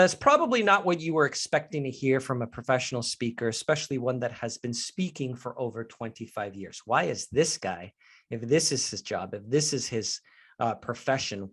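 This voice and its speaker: A man's voice: medium pitch, lively intonation, normal speed, a bit bright, slightly rough. A man in his forties from Mexico, a professional speaker leading an online workshop on confidence, talking in a candid and earnest way straight to his audience. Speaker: leadership trainer and professional speaker. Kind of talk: live online workshop presentation.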